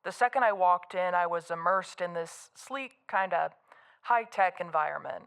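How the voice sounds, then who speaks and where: A woman's voice: lowish voice, normal speed, vocal fry, fairly bright tone, clean tone. An actress playing a guarded, matter-of-fact woman answering an interviewer in an audio drama. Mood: uneasy, critical. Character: guarded, unimpressed woman witness recounting to a reporter.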